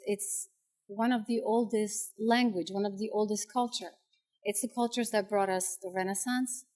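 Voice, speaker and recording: A woman whose first language is Italian, a teacher giving an earnest, persuasive public comment at a school board meeting with some hesitations. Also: medium pitch.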